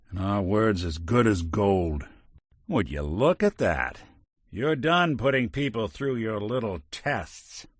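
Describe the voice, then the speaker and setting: An actor voicing a world-weary, principled robot detective in a video game, with an American accent and a wry, hard-boiled, old-time private eye manner. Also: male voice, medium pitch, no echo, studio quality. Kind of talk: video game character acting.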